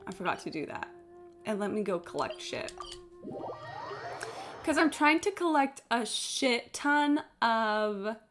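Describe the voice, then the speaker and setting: High-voiced, sweary woman livestreamer over game music. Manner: blunt, sweary, animated storyteller, frequent "like". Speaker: live streamer. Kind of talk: casual gaming stream chat, rambling anecdotes. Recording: game music playing behind the voice. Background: video game music.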